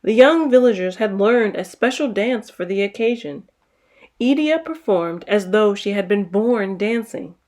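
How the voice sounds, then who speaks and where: A woman's voice: mid-range voice, expressive, measured pace, a little mellow. A woman narrating a children's picture book as a storytime host, reading expressively.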